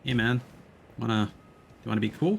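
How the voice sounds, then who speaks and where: A man's voice: mid-range voice, brisk, gravelly, background noise. A man doing casual live game commentary, thinking aloud and talking playfully to himself and the game. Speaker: streamer.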